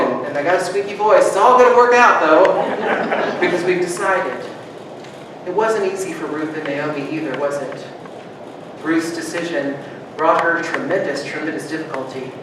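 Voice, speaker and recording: A man preaches to his congregation with a fairly high voice, swinging between loud rousing calls and hushed, soft-spoken reflection. He is heard from a distance in a strongly echoing church, with clear background noise around him. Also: normal speed. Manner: conversational, joking with the congregation. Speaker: pastor. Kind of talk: sermon. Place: church sanctuary.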